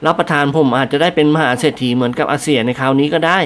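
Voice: medium pitch, very fast, lightly acted. A reader voicing a humble, deferential male servant answering his masters politely in an audiobook.

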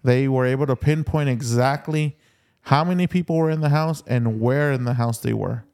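A man's voice: mid-range voice, normal speed, darkish tone, clear, resonant tone. A man in a casual chat, explaining things as a podcast co-host and restating his points for emphasis.

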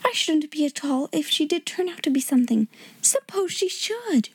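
A woman reading a storybook in the role of a gossipy schoolgirl, eager and excited. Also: sits high, moderate pace, voice pushed up.

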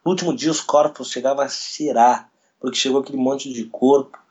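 A man, a radio sports journalist telling a story in an interview, retelling events quickly with quoted dialogue. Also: medium pitch, fairly even intonation, darkish tone. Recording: dull lo-fi recording.

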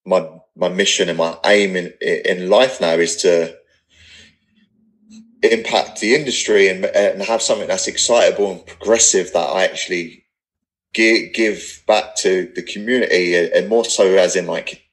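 English bloke in his 30s, not posh, deep voice, chatting on a podcast, rambly and earnest, pretty blunt. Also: moderate pace. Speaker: podcast guest, personal trainer business owner. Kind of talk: casual podcast interview, candid talk.

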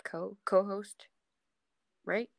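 A woman's voice: medium pitch, level tone, extremely slow, vocal fry. A woman co-hosting a podcast in casual, slangy chat about a TV series. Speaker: podcast co-host.

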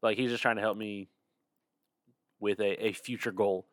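A man's voice: on the low side, fairly even intonation, normal speed, dry recording, clean studio sound. A young man getting over a cold, chatting casually as a podcast co-host. He is tired, yawns, rambles and laughs often. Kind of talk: casual chat.

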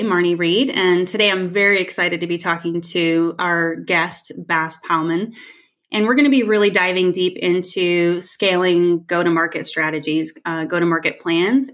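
A woman's voice: fairly low pitch, fairly bright tone. A woman hosting a podcast interview in an upbeat, eager way. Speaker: podcast host.

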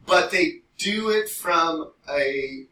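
A man co-hosting a podcast in casual, rambling chat, telling things in an animated way and swearing freely. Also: on the high side, drawn-out words. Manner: animated storytelling, frequent swearing. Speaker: podcast co-host. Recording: roomy echo, distant mic, faint noise.

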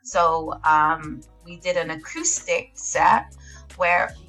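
Bright-voiced woman singer. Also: low-pitched, slow pace, soft background music, poor audio. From England. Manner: chatty, laughs often, many fillers and restarts. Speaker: singer, interview guest. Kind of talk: casual interview chat.

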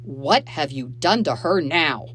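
A woman's voice: low-pitched, slow, a little hiss or hum. Woman reading as a stern, protective father. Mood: accusing. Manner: forceful with others, soothing with his child. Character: stern, protective father soothing his daughter.

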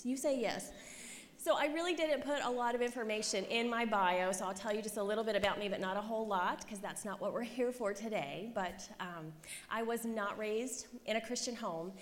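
A woman in her forties from the United States, giving a chatty, self-deprecating conference sermon to a live audience, often checking in with "right?" and "okay?". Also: a little high. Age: 40s. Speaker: conference speaker, pastor's wife. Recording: reverberant hall, faint room noise.

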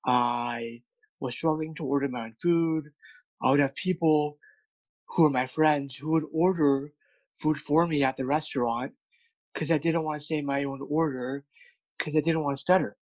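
A man's voice: fairly high pitch, fairly even intonation, normal speed, no echo, dull audio. A man who stutters, with repeated words and many fillers, speaking candidly as a guest about his work as a speech coach. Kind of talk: candid interview conversation.

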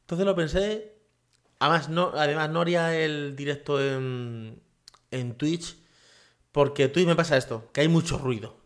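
A man's voice: on the high side, unhurried. A man hosting a casual solo podcast from his home office, rambling with many fillers and tag questions. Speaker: podcast host.

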